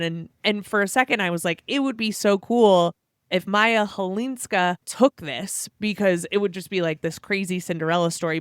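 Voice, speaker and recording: A young woman co-hosting a casual sports podcast, chatty and quick to laugh as she recaps results. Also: mid-range voice, fairly bright tone, clean tone, no echo, studio quality. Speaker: podcast co-host.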